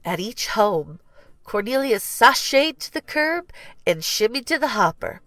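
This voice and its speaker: A woman narrating a children's storybook in an expressive, deliberate read-aloud style. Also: fairly high pitch, dry recording. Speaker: storybook reader.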